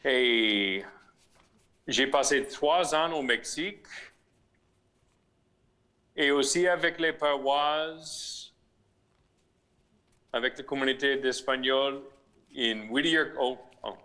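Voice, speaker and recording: A priest with an American accent and English as his first language, answering interview questions slowly and haltingly, with restarts. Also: mid-range voice, bright, forceful tone. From United States (Missouri). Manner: slow, halting, with restarts and searched-for words. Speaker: rector of a shrine, priest.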